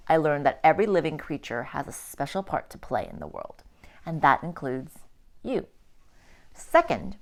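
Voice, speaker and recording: A woman reading a children's picture book aloud like a teacher, with expressive, deliberate phrasing for young listeners. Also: low-pitched. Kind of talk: children's storybook read-aloud.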